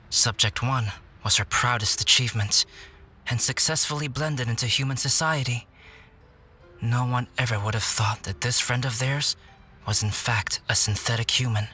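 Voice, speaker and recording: A man playing a calm, scholarly young alchemist in a video game, speaking in a measured, articulate way. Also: mid-range voice, a little hiss or hum. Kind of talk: video game voice acting, storytelling.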